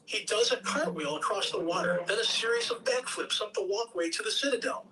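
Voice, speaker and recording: A woman's voice: medium pitch, a little fast, a bit bright, distant mic, rough recording. A woman reading stage directions as scripted narration at a table read.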